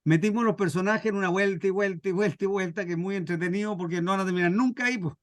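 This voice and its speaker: A man's voice: high voice, quick pace, dry recording, studio quality. A man with a Chilean accent teaching a workshop informally through stories, repeating phrases for emphasis. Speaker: scriptwriting workshop instructor. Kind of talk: informal teaching with acted anecdotes.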